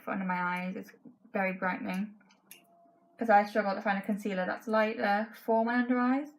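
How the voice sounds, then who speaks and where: A woman's voice: on the high side, low-quality recording. A young woman in her twenties with a voice that is going, chatting casually through a makeup tutorial in her bedroom, full of "um" and "like". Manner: chatty, frank, many fillers like "um" and "like". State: losing her voice. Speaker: beauty vlogger. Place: bedroom, indoors.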